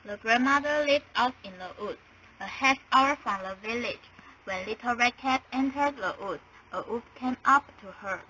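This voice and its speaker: A woman's voice: on the high side, moderate pace, pushed and bright, slight background noise, low-quality recording. A young woman reading scripted storybook narration.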